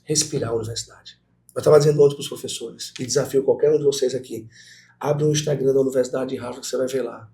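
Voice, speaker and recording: A middle-aged man with a Brazilian Portuguese accent, a guest professor telling stories in a lively conversational interview and speaking straight to the students. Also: medium pitch, quick pace, creaky. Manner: animated, talks to students directly.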